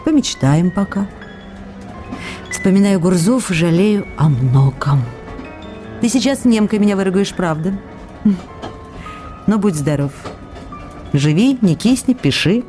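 A woman's voice: medium pitch, animated, takes it slowly, pauses often, warm tone, faint music behind. An actress in a radio drama reading the tender, playful love letters of a young woman actress, in an intimate, questioning way.

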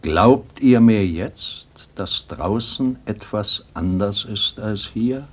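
A man's voice: on the low side, lively intonation, slow. A man playing a troubled old grandfather in a German radio play, hesitant and wistful, longing for a world he remembers. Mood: urgent.

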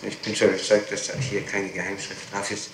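A man conducting a formal interrogation with deliberate questions, recorded on an old tape from the 1960s. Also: fairly low pitch, monotone, heavy reverb, a crowd in the background, low-quality recording.

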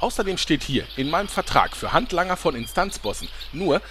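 A man's voice: fairly high pitch, big pitch swings, a little fast, pushed and bright, slight background noise. A man playing a nagging, self-pitying cook and henchman in a fantasy parody radio play, whining and pedantic. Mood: pedantic, aggrieved.

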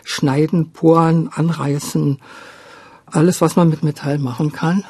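A woman over seventy reminiscing as an interview guest, thinking aloud in a halting, thoughtful way. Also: deep voice, steady tone, darkish tone, breathy edge, dry recording. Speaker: interview guest, radio drama director.

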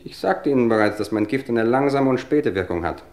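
A man's voice: mid-range voice, lively intonation, a little mellow, natural voice. Actor as suave, mocking male poisoner. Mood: coolly boastful. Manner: suave, mocking, measured, theatrically polite. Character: smug, cultivated male poisoner, coolly taunting police. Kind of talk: vintage German radio crime drama acting.

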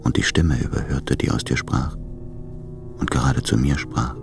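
An actor playing a brooding, self-doubting lover in a radio play, with measured, introspective delivery. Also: male voice, rumbling low, flat delivery, gravelly, over music, full character voice. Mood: melancholy. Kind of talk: literary German radio play monologue.

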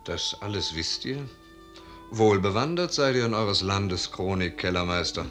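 A man playing a curious, brisk soldier in a 1950s German radio drama. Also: sits low, expressive, fairly bright tone. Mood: eager. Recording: 1950s radio recording, music behind.

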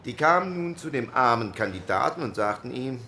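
An actor playing a male delegate who speaks in a measured way and lists things with dry irony. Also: medium pitch, animated, sharp tone. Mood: wry.

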